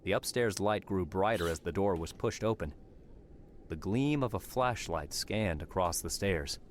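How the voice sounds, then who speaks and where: Low low guy narrating a story book, some noise behind him. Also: expressive, measured pace. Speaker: audiobook narrator. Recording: clearly noisy background.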